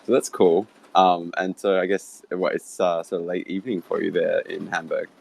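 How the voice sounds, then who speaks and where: Gravelly deep-voiced Australian man hosting. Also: flat delivery, normal speed. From Sydney, Australia. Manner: chatty, easygoing, laughs easily. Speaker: host. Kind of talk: casual chat hosting an online audio room. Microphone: online voice call.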